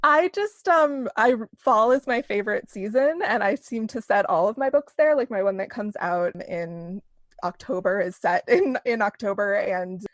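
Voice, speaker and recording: A woman's voice: medium pitch, animated, normal speed, dry recording, clean studio sound. A woman being interviewed as a novelist, chatting casually and peppering her talk with "like".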